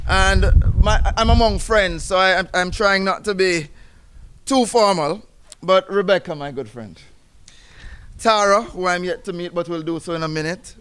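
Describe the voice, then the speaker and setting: A man's voice: high-pitched, clear, resonant tone. A man with a Jamaican accent speaking at a community awards ceremony, chatty and informal, often breaking off to sing bits of old jingles. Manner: chatty, warm, breaks into song, restarts often. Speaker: guest speaker, executive director. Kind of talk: public speech at a ceremony.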